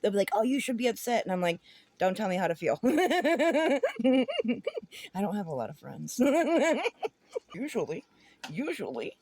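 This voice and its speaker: A woman's voice: mid-range voice, big pitch swings, measured pace, long pauses, a little mellow, breathy edge, no echo. A woman talking casually and bluntly in an informal, rambling way, sharing her opinions. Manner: blunt, informal, giggles often between phrases. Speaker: vlogger giving opinions.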